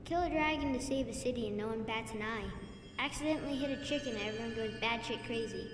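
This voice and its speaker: A woman playing a jokey, irreverent adventuring companion in a fantasy game, with deadpan delivery. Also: fairly high pitch, fast talker, background noise, natural voice. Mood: joking. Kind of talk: fantasy game character acting. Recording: echoey, distant, loud music behind.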